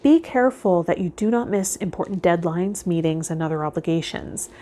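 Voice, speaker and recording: A woman hosting a tarot vlog, speaking in a measured, instructive way straight to the listener. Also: on the low side, expressive, dark tone. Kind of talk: reading tarot card meanings aloud, then casual guided talk to viewers.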